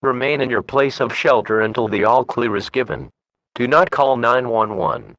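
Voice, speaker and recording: A synthetic man's voice reading a formal scripted emergency alert in a flat, measured manner. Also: medium pitch, no echo, poor audio.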